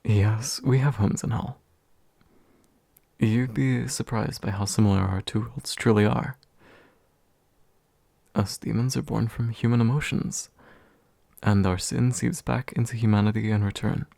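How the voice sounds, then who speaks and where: Guy acting as a polite comforting demon, soft asmr roleplay, low creaky voice, dry close sound. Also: steady tone, unhurried, pauses often, no echo. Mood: musing, genial. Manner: gentle, hesitant, formally polite. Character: courteous, gentle male demon offering comfort to his summoner. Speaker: ASMR voice actor.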